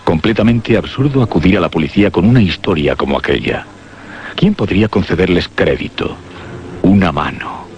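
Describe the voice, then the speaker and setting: Solemn horror radio narrator, low deep male voice, theatrical, muffled old recording. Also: expressive, moderate pace, darkish tone. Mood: grave suspense. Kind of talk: dramatic suspense narration in horror radio drama. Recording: dull, noisy old radio drama recording.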